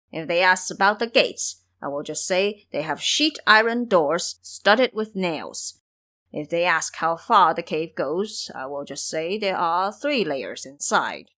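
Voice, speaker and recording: A woman's voice: on the low side, lively intonation, unhurried, sharp tone. A woman voicing a foolish, cowardly male pig disciple in an audio drama, blustering and whining through lies. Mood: scheming.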